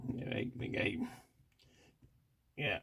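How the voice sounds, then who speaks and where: A man giving casual hands-on teardown commentary, talking haltingly and half to himself as he works, like a hobbyist host. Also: very noisy. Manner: halting, muttering to himself while working. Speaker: hobbyist reviewer and video host.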